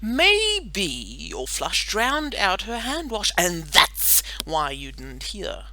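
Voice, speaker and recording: A woman voicing a pompous schoolboy playing interrogator in a children's story, insistent and drawn-out. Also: medium pitch, wildly swooping pitch, sharp tone, voice pushed up, heavily acted.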